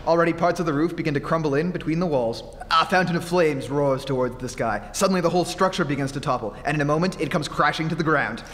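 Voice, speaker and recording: A man's voice: fairly high pitch, fast talker, few pauses, fairly bright tone, close to an everyday voice. A man playing a desperate, haunted fugitive in old-time radio horror, melodramatic and stammering, recorded on stage in a hollow, hissy hall. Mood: feverish awe.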